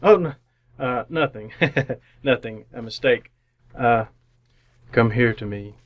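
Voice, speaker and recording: An actor playing an awkward, lonely city bachelor in an old-time radio drama, halting and bemused as he talks and narrates. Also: mid-range voice, lo-fi sound, natural voice. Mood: flustered.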